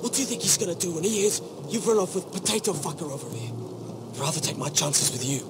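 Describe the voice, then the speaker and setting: Brash troublemaker ranger for a fantasy game played by a man: goading, foul-mouthed, very animated, heavy storm noise behind. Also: a little high, fast, lightly acted. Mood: cocky, goading. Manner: coarse, swearing, goading. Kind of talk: video game drama acting. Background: rain, night ambience.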